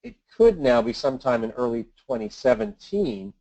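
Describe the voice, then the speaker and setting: A man reads scripted market commentary as an investment adviser on a webinar, in a measured, formal, businesslike manner. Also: mid-range voice, sing-song, warm tone. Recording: dull lo-fi conference call audio.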